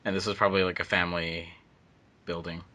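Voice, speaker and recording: A man's voice: low-pitched, level tone, fast, pushed and bright. A man chatting on a fan commentary track, rambling with many fillers. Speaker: fandub editor and voice actor. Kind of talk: casual commentary chat.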